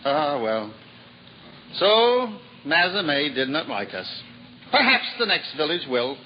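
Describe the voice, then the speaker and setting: An actor playing a poor, cheerful wandering juggler in a 1950s radio drama, earnest and prone to stammering when flustered. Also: male voice, fairly high pitch, very animated, slow. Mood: hopeful. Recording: dull old radio recording with hiss.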